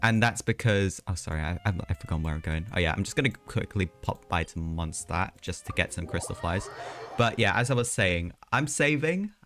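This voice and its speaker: Man, lowish voice, casual game streamer, rambling, hesitant, faint music in background. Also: expressive, fairly quick, fairly bright tone. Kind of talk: casual live stream chat.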